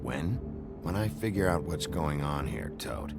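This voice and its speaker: An actor playing a gruff, world-weary investigator in a video game, terse, deadpan and suspicious, with a gravelly voice. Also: male voice, very deep voice, a little slow, loud music behind the voice, heavily acted. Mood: stern, suspicious. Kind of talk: dramatic video game dialogue.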